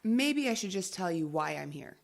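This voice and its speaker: An actress playing a secretive government agent in an audio drama, dry and unflappable. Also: female voice, lowish voice, sing-song, normal speed, gravelly. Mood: wry.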